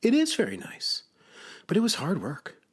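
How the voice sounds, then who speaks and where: A man's voice: high-pitched, huge pitch swings, moderate pace, breathy edge, voice pushed up, heavily acted. A man voicing a sensible, kindly frog in a children's storybook, patiently giving advice. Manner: patient, advising.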